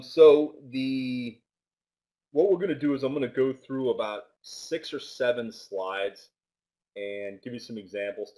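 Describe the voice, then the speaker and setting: A man presenting a live online trading webinar in a loose, casual way, with many fillers and restarts, speaking with a loud voice into a microphone set well back. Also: lowish voice, rough recording. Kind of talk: casual live webinar talk with screen share. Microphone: desk microphone set far back.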